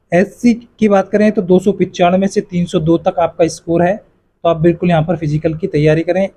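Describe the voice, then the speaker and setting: A man's voice: high-pitched, mellow. A man casually explaining exam cutoff scores to students, addressing them as friends, with a repetitive, reassuring manner and lots of fillers. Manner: repetitive, fills with "yahan par" and "jo hai". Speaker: exam coaching YouTuber.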